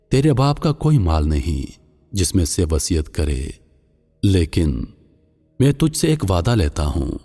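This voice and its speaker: Man voicing a solemn, very deep dying father, cavernous reverb. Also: warm tone, full character voice. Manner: grave, admonishing. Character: solemn dying father counselling his son, later a dream spirit. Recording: long cavernous reverb, music underneath.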